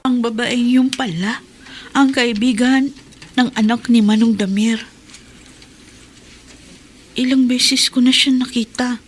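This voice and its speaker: A principled young wife in a radio play. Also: female voice, fairly high pitch, steady tone, slow, long pauses, background noise. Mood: reflective. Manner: impassioned, pleading. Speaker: radio drama actress. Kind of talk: radio drama acting.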